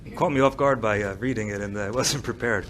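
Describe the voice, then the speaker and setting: A man's voice: on the low side, quick pace, a bit bright. A man reading a formal proclamation aloud as a township official at an indoor public meeting. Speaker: township business administrator. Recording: public meeting room, faint noise.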